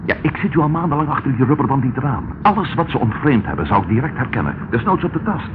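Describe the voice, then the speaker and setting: An actor playing a methodical pipe-smoking police detective who explains things calmly. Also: male voice, mid-range voice, fast talker, close to an everyday voice. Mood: matter-of-fact. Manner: calm, explanatory. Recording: muffled old radio recording with hiss.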